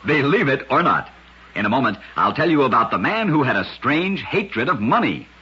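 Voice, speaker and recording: Husky, bright-voiced male radio storyteller. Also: medium pitch, big pitch swings, normal speed. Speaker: radio host and narrator. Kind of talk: scripted vintage radio narration. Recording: dull lo-fi old radio recording.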